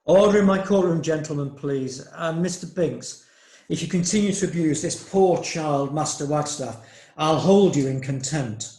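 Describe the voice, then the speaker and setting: A man playing a stern, formal magistrate in a courtroom play, measured and authoritative. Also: on the high side, a little mellow, echoey room, natural voice. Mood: stern, exasperated. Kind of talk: courtroom stage play acting.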